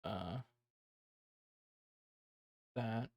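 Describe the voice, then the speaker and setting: A man streaming casually, rambling and thinking aloud as he plans, his voice tired and croaky. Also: fairly low pitch, extremely slow, a little mellow, dry recording, clean studio sound. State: hoarse, tired voice. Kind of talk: casual livestream chat.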